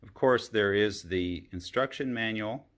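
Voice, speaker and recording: A man with a fairly low voice presents a product demonstration. He speaks very slowly and explains each point methodically, in the measured style of a careful reviewer.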